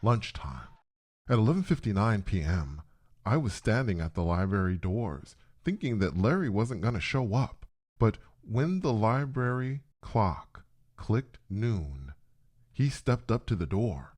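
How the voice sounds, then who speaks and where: Guy reading a story out loud, slow and dramatic, voice goes up and down a lot. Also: on the low side, very animated. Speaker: narrator. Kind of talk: scripted first-person story narration.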